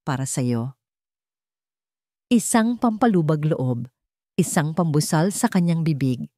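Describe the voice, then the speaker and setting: A woman's voice: deep voice, animated, measured pace, mellow, no echo, clean studio sound. A woman reading a scripted dramatic family story as its narrator. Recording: music behind the voice.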